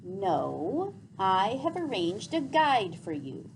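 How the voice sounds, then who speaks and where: A woman reads as a measured elder leader in a storybook. The speech is formal and deliberate, slightly slow, with lively pitch movement. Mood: firm. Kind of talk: storybook character reading. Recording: faintly noisy classroom recording.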